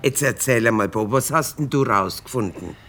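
A man acting in a radio play as a blunt, expressive police detective. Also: mid-range voice, normal speed, loud music behind the voice, close to an everyday voice.

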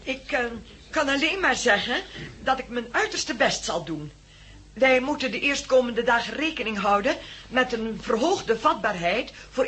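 A woman's voice: on the high side, fairly bright tone. A Dutch woman playing an earnest, dutiful nurse in a 1960s radio drama, giving precise and polite formal instructions. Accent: Dutch, Netherlands.

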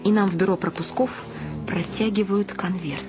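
An actress playing an ironic woman writer in a radio drama. She speaks at a medium pitch, wry and expressive, with clear music behind her. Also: moderate pace, close to an everyday voice. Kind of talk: dramatised storytelling in a radio play. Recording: music under the voice.

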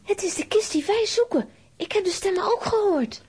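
A woman's voice: very high voice, expressive, moderate pace, darkish tone. A woman playing a plucky, curious, easily frightened little girl in a children's audio drama, with a dramatic, exclamatory delivery. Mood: urgent.

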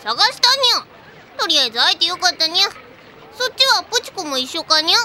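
A woman's voice: extremely high pitch, sing-song, fast talker, a little hiss or hum, full character voice. A woman voicing a brash, bossy young cat-eared girl in an anime audio drama, childlike and cheeky, ending sentences with a cat-like nya. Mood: relieved, scolding. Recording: music playing loudly behind.

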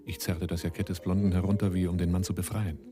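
An actor playing a wry, unflappable smuggler and husband in a German radio play, dry and mocking. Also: male voice, low-pitched, little pitch movement, quick pace, lightly acted. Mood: cool narrating.

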